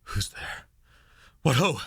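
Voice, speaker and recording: A man's voice: slow pace, a bit bright, no room sound. A man acting a guilt-racked, fearful murderous thane in a Shakespearean radio play, with a haunted, intense delivery. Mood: hushed, jumpy.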